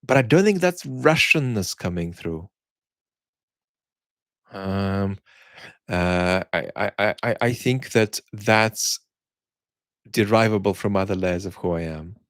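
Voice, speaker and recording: A man hosting an online chat, talking reflectively and conversationally in articulate, opinionated phrases, often pausing and restarting words as he searches for the right one. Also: fairly low pitch, expressive, no room sound, studio quality. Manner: halting, searching phrasing with repeated word starts. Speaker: host of a live chat for an online community.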